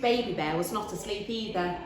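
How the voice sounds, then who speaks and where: A woman reading a children's storybook aloud in a deliberate, expressive storytelling manner. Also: medium pitch. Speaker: story reader. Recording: echoey room.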